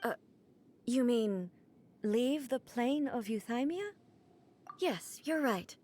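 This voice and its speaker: A composed, regal woman ruler speaks slowly and formally in a slightly raised register. Every sentence is measured and controlled, aloof yet unhurried. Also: fairly high pitch. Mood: calm. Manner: calm, measured, formal speech. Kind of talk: video game cutscene dialogue.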